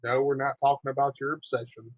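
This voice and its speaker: A man's voice: mid-range voice, level tone, fast, no room sound, highs cut off. A man co-hosting a podcast interview, playfully teasing with rambly lead-ins. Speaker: podcast co-host. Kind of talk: casual interview chat.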